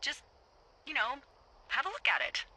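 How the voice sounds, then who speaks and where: Wry, playful female fire lookout for a video game; American, very bright crisp tone, highish male-range pitch aside, heard over radio. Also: huge pitch swings, moderate pace, a little hiss or hum, full character voice. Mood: coy, breezy. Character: wry, chipper, foul-mouthed adult woman fire lookout. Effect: two-way radio. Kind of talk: naturalistic video game dialogue.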